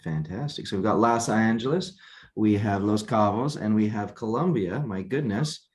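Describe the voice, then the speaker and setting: Low-voiced male call host, easygoing and halting. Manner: relaxed, halting, repeats words. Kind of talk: hosting a weekly video call. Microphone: video call.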